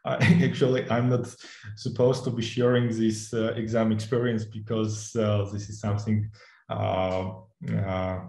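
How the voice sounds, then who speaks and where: A young man with a Georgian accent speaks in a low, mellow voice. He stops and restarts often and fills gaps with "uh", and the room around him is echoey. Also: level tone, a little slow. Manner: hesitant, many fillers and restarts, laughs often. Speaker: instructor. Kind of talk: interview answers.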